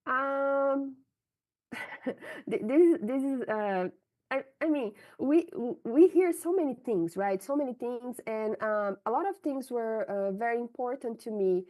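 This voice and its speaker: A woman's voice: a little high, measured pace, dark tone. A woman from Brazil with a Brazilian Portuguese accent, whose first language is Portuguese, answering interview questions about her scientific career frankly and often adding "right?" to her sentences. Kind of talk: candid conversational interview answers.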